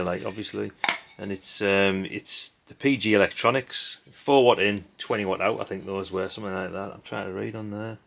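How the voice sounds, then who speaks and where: A middle-aged man rambles casually as he hosts a hobby video. His voice sits fairly low and has a heavy gravelly creak. He is dry, blunt and a bit sweary, over faint background noise and the clatter of handled parts. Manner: dry, blunt, mildly sweary, tag questions. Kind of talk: casual rambling commentary while sorting old electronics. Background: clicks and clatter of handled parts.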